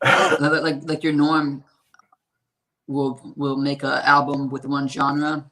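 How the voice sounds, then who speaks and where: A man with a raspy, hoarse voice, talking about his music in a casual interview and rambling with lots of fillers and swearing. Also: medium pitch, monotone, takes it slowly, a crowd in the background, low-quality recording. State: hoarse from a strained voice. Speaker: independent musician.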